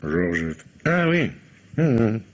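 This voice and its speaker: An actor playing a guarded, courteous café waiter in his thirties, husky and dryly ironic, in an old French radio drama. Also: on the low side, big pitch swings, very slow, exaggerated voice. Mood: guarded, casual. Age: 30s. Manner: laconic, polite, dryly ironic. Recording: muffled old radio recording.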